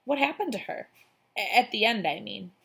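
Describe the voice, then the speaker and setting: A young girl from a children's story, acted out by a woman reader, speaks casually and a little higher than an adult narrator. She is loyal and teasing, with a breezy kid way of putting things. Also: lively intonation, moderate pace, gravelly, sharp tone, slightly rough, heavily acted. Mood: probing.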